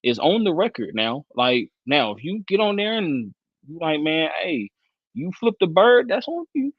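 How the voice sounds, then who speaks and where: A man in his early thirties speaks as a guest on an interview show over a video call. He talks in an animated, slangy way full of fillers and restarts. The call audio is dull and slightly lo-fi, and it has a dry, close sound. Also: a little high, normal speed, slightly rough, no room sound. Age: 30s. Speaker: guest, football analyst. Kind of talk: casual interview chat.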